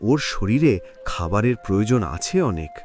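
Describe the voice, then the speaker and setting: A man's voice: lowish voice, lively intonation, normal speed, a little mellow, close to an everyday voice. A man voicing a middle-aged village father in a cartoon story, plain-spoken and caring toward his sons. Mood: caring, matter-of-fact.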